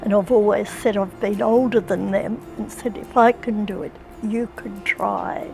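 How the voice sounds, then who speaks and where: A woman's voice: medium pitch, steady tone, a little slow, vocal fry, a little mellow, poor audio. An older woman giving a personal testimony. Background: music.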